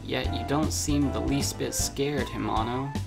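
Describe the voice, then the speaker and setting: A man's voice: medium pitch, fairly even intonation, a little slow, creaky, over music, voice pushed up. A man voicing a dry, exasperated schoolgirl from a visual novel, in a chiding manner. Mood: dry, skeptical. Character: dry, exasperated schoolgirl, the friend telling the story.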